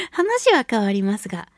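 A woman radio host with a fairly high, noticeably breathy voice talks casually on her own. Her delivery is chatty, expressive and giggly as she moves between reading mail and reacting to it. The sound is close and dry. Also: no room sound. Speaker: radio show host, voice actress.

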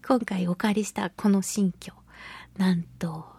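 Clear-voiced woman chatting on radio. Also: mid-range voice, steady tone, slow pace, dark tone, dry recording. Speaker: radio show host and voice actress. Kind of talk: chatty solo radio monologue.

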